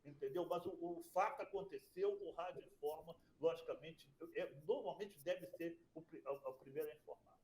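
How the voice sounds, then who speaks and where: A man's voice: a little high, normal speed, hardly any pauses, a little mellow, reverberant, poor audio. A man radio broadcaster speaking as an interview guest, emphatic and stumbling over restarts. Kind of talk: conversational interview.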